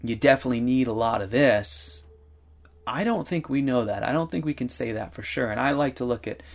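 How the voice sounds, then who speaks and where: A man speaking in a casual, halting way, rambling with many fillers and restarts. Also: mid-range voice, creaky. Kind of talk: casual conversational talk.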